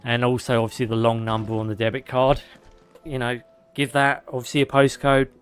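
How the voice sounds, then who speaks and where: A man reading game lore on a live stream, hoarse from long talking and a bit out of breath. He cuts in with dry, sarcastic asides and the odd swear. Faint music plays underneath, and his pitch sits in the middle for a man. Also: level tone, a little fast. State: slightly out of breath.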